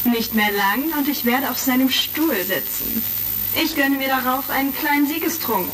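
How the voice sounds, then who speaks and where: Haughty, scheming senator, highish voice. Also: female voice, sing-song, moderate pace, fairly bright tone, heavily acted. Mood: smug, gloating. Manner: smug, condescending, formal. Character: scheming, haughty, power-hungry female senator. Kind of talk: retro sci-fi radio play acting. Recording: slightly distant, crackly vintage record.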